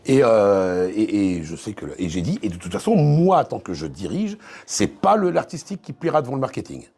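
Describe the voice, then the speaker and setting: A man in a casual interview as a voice actor and dubbing director, telling anecdotes haltingly and repeating words as he goes. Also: on the low side, wildly swooping pitch, creaky, darkish tone, breathy edge. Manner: hesitant, repeats words, acts out quoted exchanges.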